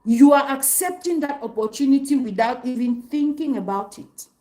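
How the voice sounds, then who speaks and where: A young adult woman hosting an opinion commentary, talking emphatically to viewers, repeating her points and filling pauses with um and uh. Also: sits high, dark tone. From African country outside Nigeria and South Africa. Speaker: commentary channel host.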